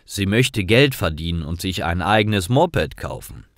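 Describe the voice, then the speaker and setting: A man reading scripted stories for language learners as narrator, in simple, clearly phrased storytelling. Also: sits low, lively intonation, unhurried, fairly bright tone, dry recording, clean studio sound.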